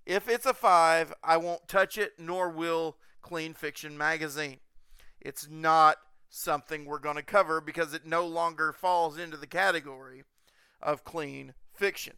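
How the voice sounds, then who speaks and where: A man with a high voice hosts a podcast, reading a prepared review. The sound is dry, with very little room around it. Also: a bit bright, dry recording.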